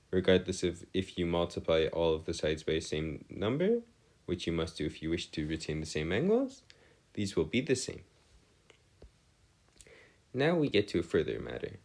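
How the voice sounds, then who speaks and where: A man with a low voice teaches math at a slow pace. His intonation swings widely as he goes. He speaks in formal, measured phrases and pauses deliberately while explaining each step. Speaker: math tutor. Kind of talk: explanatory math lesson over worked diagrams.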